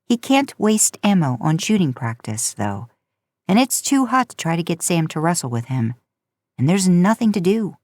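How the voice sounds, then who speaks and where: A woman narrating a story aloud in a casual, expressive way. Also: lowish voice, normal speed, dry recording. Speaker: podcast host narrating fan fiction.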